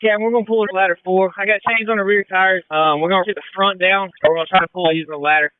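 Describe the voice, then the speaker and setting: A man's voice: high voice, fairly even intonation, fast, smooth, no room sound. A man working as a firefighter, giving clipped, urgent messages over a two-way radio. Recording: tinny narrowband two-way radio traffic.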